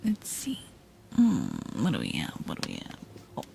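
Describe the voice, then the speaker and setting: Soft-whispering woman with a low, gravelly voice. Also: huge pitch swings, moderate pace, slight background noise. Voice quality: deep voice for a woman. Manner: soft whispering, giggly and playful. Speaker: ASMR streamer. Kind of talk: whispered ASMR stream chat.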